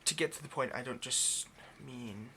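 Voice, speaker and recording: A man playing an obsessive, hungry vampire in an intimate ASMR roleplay, murmuring haltingly and often slipping toward a whisper. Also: mid-range voice, bright, forceful tone, a little hiss or hum. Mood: halting, explanatory. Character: obsessive, hungry male vampire, possessive and seductive.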